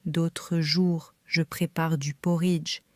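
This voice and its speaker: Female reader for beginner lessons, calm and careful, flat even delivery, dry close sound. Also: low-pitched, slow pace, no echo. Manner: calm, careful, clearly spaced phrases. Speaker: French reader for learners. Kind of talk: slow scripted reading for beginners.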